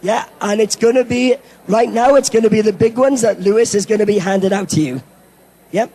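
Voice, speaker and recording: A Welsh man speaks to a conference audience in a hoarse voice that sits high for a man yet sounds mellow and warm. He is upbeat and self-deprecating, with plenty of ums and uhs. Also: brisk, low-quality recording. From Wales. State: hoarse, lost his voice. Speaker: event technology presenter. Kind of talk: conference presentation. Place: conference hall.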